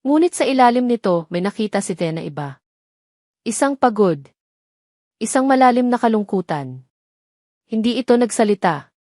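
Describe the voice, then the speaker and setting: A woman reading a scripted romantic audio drama as narrator, with measured, sentimental storytelling in short phrases. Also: on the high side, animated, a little mellow, no room sound, clean studio sound.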